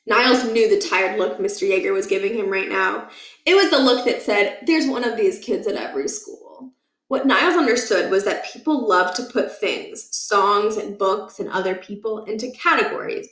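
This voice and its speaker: A woman reading a children's book aloud as expressive narration, with measured, emphatic phrasing. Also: mid-range voice, echoey room.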